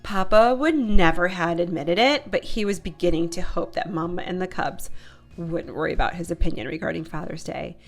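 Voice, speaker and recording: A woman's voice: lowish voice, normal speed. A woman narrating a children's picture book as a read-aloud storyteller. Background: music.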